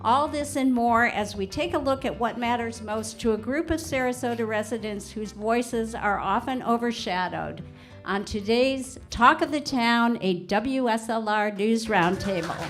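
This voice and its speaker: A woman's voice: a little high, measured pace, faint music behind. Older woman hosting a live radio roundtable. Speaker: radio show host. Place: community arts center before a live audience.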